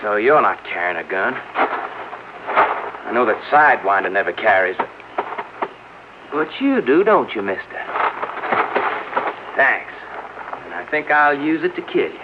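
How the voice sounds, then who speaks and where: An actor playing a brash, gloating American killer in an old radio drama, barking threats and commands. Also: male voice, lowish voice, sing-song, a little slow, long pauses. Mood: gloating menace. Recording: muffled, very noisy old radio recording.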